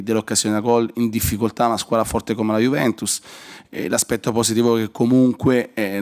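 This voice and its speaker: Man in his 40s, coach at a presser, kinda low voice, slow careful answers with lots of ums. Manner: measured, hesitant, many pauses and fillers. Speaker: visiting football coach. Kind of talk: post-match press conference answers. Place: press room in front of a sponsor board. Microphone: desk microphone.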